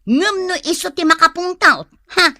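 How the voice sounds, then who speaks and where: A woman's voice: very high-pitched, animated, moderate pace, bright, forceful tone, dry recording, clean studio sound, full character voice. A woman with a Filipino accent playing a scolding, protective young wife in a comedy radio drama. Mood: firm, protective.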